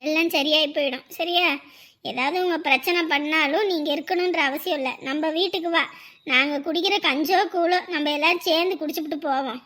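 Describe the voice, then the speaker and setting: A woman playing a gentle, caring neighbor. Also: sits high, brisk, pitched below the performer's own voice. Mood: soothing. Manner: gentle, soothing, reassuring. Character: kind caring middle-aged neighbor woman. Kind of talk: one-person village family skit.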